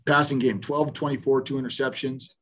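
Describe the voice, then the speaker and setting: A man's voice: mid-range voice, steady tone, fairly quick, fairly bright tone, breathy edge. A man in his fifties, a head football coach at a post-game press conference, talking bluntly with many restarts over a video call. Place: small room in front of a sponsor backdrop.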